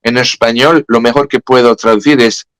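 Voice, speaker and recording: A man's voice: medium pitch, steady tone, moderate pace, fairly bright tone, clean tone, no room sound. Man speaking Spanish with a French accent. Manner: hesitant, many fillers and self-corrections. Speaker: guest speaker. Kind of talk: conversational talk.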